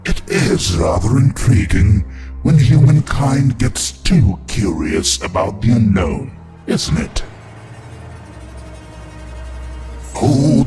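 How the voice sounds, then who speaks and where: Man playing a slow, low-voiced evil horror master. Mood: gloating. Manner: theatrical, sinister, deliberate. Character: gloating demented evil master of dark creatures. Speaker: horror podcast host. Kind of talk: in-character horror host monologue. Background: music.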